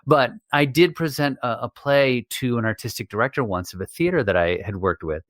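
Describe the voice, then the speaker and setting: A man hosting a casual podcast chat, talking haltingly and repeating words as he thinks aloud. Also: medium pitch, animated, a bit bright, dry recording. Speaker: podcast host.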